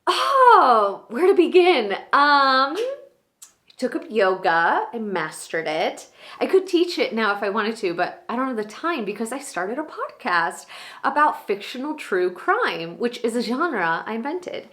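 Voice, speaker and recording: A woman performing a comedic stage monologue as a bubbly, proud woman gushing excitedly about her achievements. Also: fairly high pitch, unhurried, voice pushed up. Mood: gushing excitement. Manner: breathlessly excited, self-delighted, gushing.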